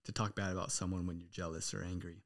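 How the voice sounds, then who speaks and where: A man's voice: deep voice, little pitch movement, fast. An American man hosting a podcast, chatting informally and earnestly with his guest. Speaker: podcast host. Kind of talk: casual interview chat.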